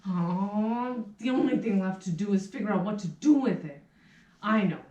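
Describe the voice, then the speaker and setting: A woman's voice: mid-range voice, moderate pace, dark tone, recorded from a distance, natural voice. A woman voicing a meek, flustered male barber for a puppet play, hesitant and apologetic, in a roomy rehearsal recording. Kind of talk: puppet theatre acting.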